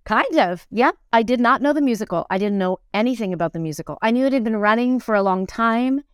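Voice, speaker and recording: A middle-aged woman telling stories casually as an interview guest, animated, rambling and self-deprecating. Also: a little high, fast talker, clean tone, no room sound, studio quality. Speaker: interview guest, musical theatre performer and teacher. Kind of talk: casual conversational storytelling.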